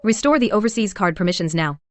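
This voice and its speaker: An actress playing an entitled, spiteful sister-in-law in a dubbed drama, accusing and demanding, quick to threaten. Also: female voice, medium pitch, animated, no room sound, natural voice.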